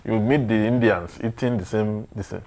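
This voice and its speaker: A man's voice: lowish voice, normal speed, a little mellow. Nigerian man in emphatic discussion. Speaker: panel discussant on current affairs. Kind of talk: opinionated discussion.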